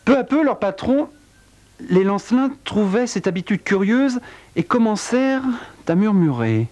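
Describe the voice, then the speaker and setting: A man telling a dark true-crime tale in a wry, theatrical way, with a cold. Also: sing-song, a little slow. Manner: wry, theatrical storytelling with big pitch swings. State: has a cold. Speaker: narrator. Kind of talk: dramatic spoken narration.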